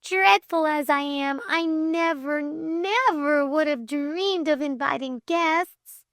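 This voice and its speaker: A woman's voice: sits high, slow, a bit bright, dry recording, studio quality, pitched above the performer's own voice, exaggerated voice. A woman voicing an earnest, flustered young girl who talks formally and hesitantly in an audiobook story. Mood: flustered, protesting.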